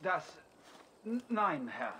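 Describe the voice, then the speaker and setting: An actor playing a gruff Saxon soldier captain, dutiful toward his lord, in a video game. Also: a little high, sing-song, extremely slow, pushed and bright, a little hiss or hum, heavily acted. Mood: wary, deferential. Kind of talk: dramatic video game dialogue.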